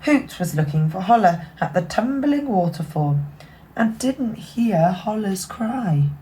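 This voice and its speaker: A woman reading a children's picture book aloud expressively, dropping into hushed asides. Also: medium pitch, animated, takes it slowly, slight background noise, poor audio. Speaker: bedtime story reader.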